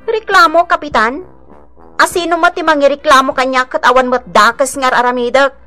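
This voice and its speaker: A woman's voice: high voice, steady tone, fairly quick, pushed and bright, soft background music. A woman playing a feisty, defiant village gossip with an Ilocano accent in a radio drama, scoffing and exclaiming theatrically. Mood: indignant, defensive.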